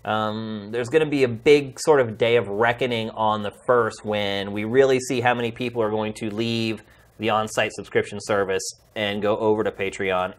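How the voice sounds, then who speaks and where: A man hosting a games podcast in a studio, talking in a heartfelt, pleading, conversational way. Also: lowish voice. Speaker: podcast host and games journalist. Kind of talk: earnest podcast sign-off.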